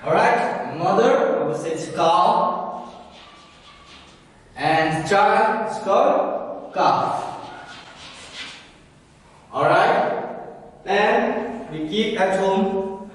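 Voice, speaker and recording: A young man with an Indian English accent teaching a class, slow and repetitive, often checking with "isn't it?". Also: high voice. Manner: slow, repeats words, asks "isn't it?". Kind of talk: classroom grammar lesson. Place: classroom at a chalkboard. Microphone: far camera. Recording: echoey room from far off.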